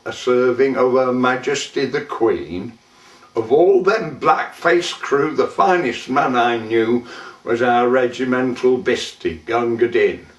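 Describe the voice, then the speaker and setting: Guy doing a gruff british empire soldier, dramatic slow poem recital, sentimental, echoey room. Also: mid-range voice. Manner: theatrical, deliberate, with shouted calls and drawn-out phrases. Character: rough British colonial soldier recalling war, gruff and sentimental. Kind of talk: dramatic poetry recitation, monologue. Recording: echoey room, distant microphone.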